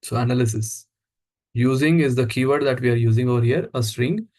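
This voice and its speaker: A man's voice: medium pitch, a little fast, a little mellow. A man teaching a live coding lesson who walks through each step and asks the class questions as he goes. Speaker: instructor.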